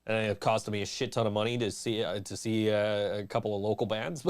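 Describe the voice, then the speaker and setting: A man's voice: fairly low pitch, normal speed. A man in his forties hosting a podcast in casual chat, rambling and laughing often. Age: 40s. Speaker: podcast host.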